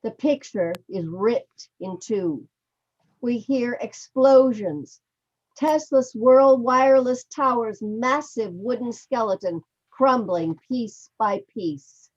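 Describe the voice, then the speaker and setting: Slow woman reading stage directions, dull audio. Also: a little high. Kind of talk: scripted narration of stage directions. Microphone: video call. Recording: dull video-call audio.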